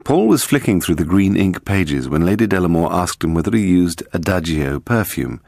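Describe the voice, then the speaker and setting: A man with a British accent reading a detective story as scripted narration, in a measured, dramatic storytelling manner. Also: low voice, lively intonation, vocal fry, a little mellow, no room sound, studio quality. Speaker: audiobook narrator.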